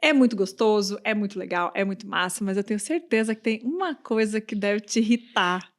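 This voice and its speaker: A Brazilian woman hosting a relaxed podcast interview. She keeps her guest talking with frequent short responses, and she asks her questions in a warm, familiar way. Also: mid-range voice, brisk. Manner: warm, encouraging, frequent backchannel. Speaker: podcast host.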